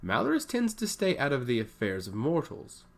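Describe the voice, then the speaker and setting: Storybook royal advisor, a woman read by a man: skeptical, blunt, dismissive, animated delivery. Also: medium pitch, moderate pace, vocal fry. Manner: blunt, scornful.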